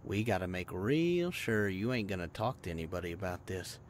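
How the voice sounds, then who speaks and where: A man's voice: low voice, sing-song, brisk. A man playing a hot-tempered young small-town thug in an audio drama, taunting in coarse slang. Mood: menacing. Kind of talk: audio roleplay acting.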